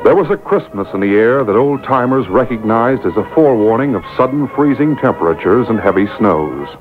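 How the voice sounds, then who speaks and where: A man narrating an old radio drama from a script, dramatic and deliberate. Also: mid-range voice. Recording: noisy, tinny radio broadcast taped off air.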